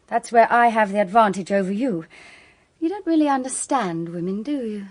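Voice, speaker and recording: An actress playing a pushy, self-assured busybody in a radio drama, speaking in an insistent, superior manner. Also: female voice, mid-range voice, lively intonation, moderate pace, close to an everyday voice. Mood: smug, piqued.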